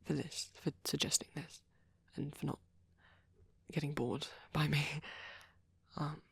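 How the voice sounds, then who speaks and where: Guy doing a shy vampire boyfriend for asmr, breathy n soft, stammers and rambles, super close dry sound. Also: fairly high pitch, flat delivery, a little slow, dry recording, heavily acted. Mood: bashful, tender. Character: shy, devoted vampire boyfriend, gentle and self-conscious. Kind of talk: intimate ASMR roleplay.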